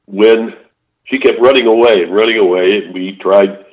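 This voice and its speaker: An older man calls in on a muffled, lo-fi phone line and tells rambling stories. He keeps restarting his sentences, and his voice sits a little low. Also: normal speed. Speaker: author guest. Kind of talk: casual storytelling interview. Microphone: phone call.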